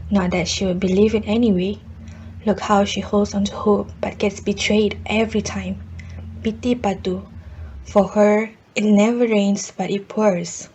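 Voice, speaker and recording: A woman's voice: medium pitch, steady tone, a little slow, warm tone, natural voice. Woman acting a pitying neighbour, dull recording. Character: pitying female neighbour, gossipy and fatalistic. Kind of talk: radio drama acting. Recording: dull lo-fi recording, faint background noise.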